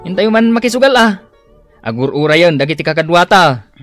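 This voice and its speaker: Loud, high-pitched gambling buddy. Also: male voice, expressive, a little fast, soft background music. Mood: goading. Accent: Ilocano. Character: loud, pushy gambling buddy, male, goading. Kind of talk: Ilocano radio comedy drama acting.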